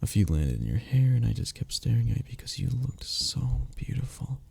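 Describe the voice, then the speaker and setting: A man playing a young, tender, self-deprecating lover in an intimate romantic ASMR roleplay, teasing softly in a low, husky, gravelly voice. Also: very animated, brisk, exaggerated voice. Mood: tender, wistful. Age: young adult.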